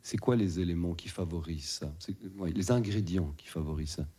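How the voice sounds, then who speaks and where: A man's voice: low-pitched, fairly quick, mellow, husky. A male interviewer asking probing questions and rephrasing them to draw out answers.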